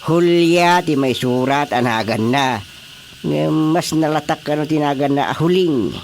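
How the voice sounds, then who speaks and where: A man's voice: fairly high pitch, measured pace, slight background noise. An actor with an Ilocano accent playing a chatty, teasing elderly village chairman in a radio drama comedy. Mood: teasing, jovial.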